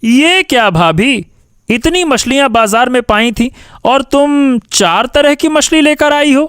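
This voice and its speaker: Cartoon in-law man performing: snooty plotter, extremely high voice, slow disdainful delivery. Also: animated, a bit bright, clean tone, heavily acted. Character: snobbish, scheming grown child of the household. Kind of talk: Hindi cartoon story acting.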